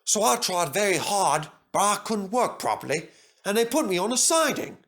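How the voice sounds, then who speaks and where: A man's voice: high-pitched, sing-song, normal speed, a bit bright, voice pushed up, full character voice. Man voicing an earnest, humble storybook engine. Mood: earnest, recounting. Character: brave, humble, earnest male visiting steam engine. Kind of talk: children's story character voice.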